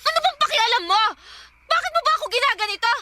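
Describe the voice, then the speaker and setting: Very shrill, drunk young woman. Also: expressive, fast talker, bright, forceful tone, a little hiss or hum, full character voice. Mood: drunk, defiant. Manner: whiny, emotional, defiant. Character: drunk, lovesick young woman, stubborn and hot-tempered. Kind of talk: Tagalog radio drama acting. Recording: music bed behind the dialogue.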